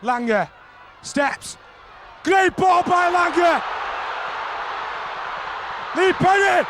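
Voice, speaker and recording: A man giving excited play-by-play commentary on a rugby league match, often shouting over the action. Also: very high-pitched, drawn-out words. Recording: archive broadcast with crowd noise.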